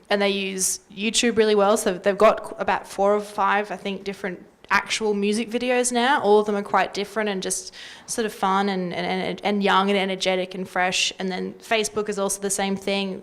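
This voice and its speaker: Creaky-voiced woman, even delivery. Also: medium pitch. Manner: informal, uses "like" and "sort of" often. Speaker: panellist at music industry workshop. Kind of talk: informal panel talk.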